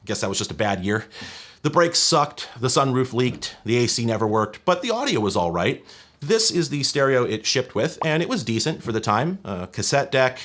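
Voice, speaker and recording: A man's voice: mid-range voice, big pitch swings, moderate pace, a bit bright. A man in his thirties hosting a tech history video, reading scripted narration in a conversational way with wry, casual asides. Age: 30s. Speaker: host of a tech history show.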